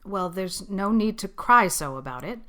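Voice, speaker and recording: A woman voicing a stern older farm woman in an audiobook, curt, brisk and unsentimental. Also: mid-range voice, animated, pushed and bright. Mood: gruff, awkward. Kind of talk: audiobook character acting.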